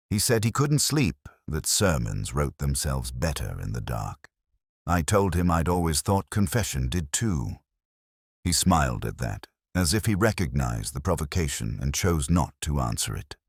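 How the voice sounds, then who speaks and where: A man reading first-person detective fiction in a brooding, measured, literary manner. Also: extremely low pitch, husky, dry recording, studio quality. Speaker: narrator of detective mystery.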